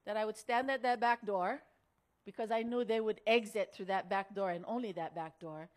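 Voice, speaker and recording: A middle-aged or older woman, giving a motivational storytelling speech in an earnest, deliberate way with dramatic pauses. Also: mid-range voice, fairly even intonation. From Hawaii. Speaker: speaker at a women's conference.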